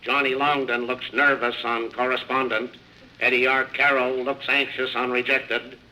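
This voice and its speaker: An actor playing a deadpan racetrack announcer in a 1950s radio comedy. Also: male voice, mid-range voice. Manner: deadpan, measured delivery. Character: deadpan male racetrack public-address announcer. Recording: slightly noisy old radio recording.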